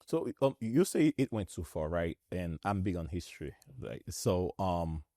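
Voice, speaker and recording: A man in his thirties with a Haitian accent, hosting a relaxed discussion with his guests and laughing easily. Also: deep voice, moderate pace, no echo, studio quality. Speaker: host. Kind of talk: conversational discussion.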